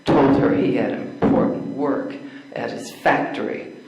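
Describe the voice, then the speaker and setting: A woman's voice: very deep voice, unhurried, a little mellow. An older woman playing an opinionated spinster schoolteacher in an amateur readers theater play, emphatic and matter-of-fact. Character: opinionated spinster schoolteacher, a suffragist, bluntly advising. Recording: echoey room.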